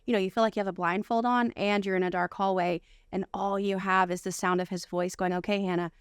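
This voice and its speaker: A woman's voice: medium pitch, fairly even intonation, fast talker, clear, resonant tone, no room sound, studio quality. An American woman talking casually and earnestly about personal experience as an interview guest, repeating phrases for emphasis. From United States. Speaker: podcast guest.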